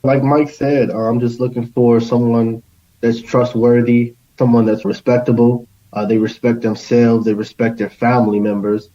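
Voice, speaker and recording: A man's voice: mid-range voice, little pitch movement, moderate pace, mellow, dull audio. A man talking casually as a guest on a podcast. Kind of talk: casual conversation.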